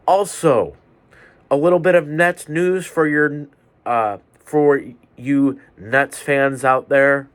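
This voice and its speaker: A man hosting a solo sports podcast from his bedroom, a little stuffed up, delivering picks in a measured, deliberate way. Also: a little high, steady tone. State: stuffy nose. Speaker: podcast host. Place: home bedroom with fans running.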